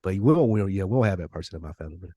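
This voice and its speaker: Give me a deep-voiced American man chatting casually. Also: animated, very fast, warm tone, dry recording. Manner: slangy, informal, swearing freely. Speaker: podcast co-host. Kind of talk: casual chat with animated storytelling.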